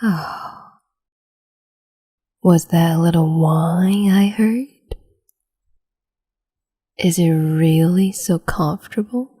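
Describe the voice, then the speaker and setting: A woman playing a breathy, whispering cruel empress. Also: fairly low pitch, warm tone, exaggerated voice. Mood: silky menace. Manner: slow, mocking and condescending. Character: cruel capricious woman empress, imperious and teasing. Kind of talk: whispered ASMR roleplay.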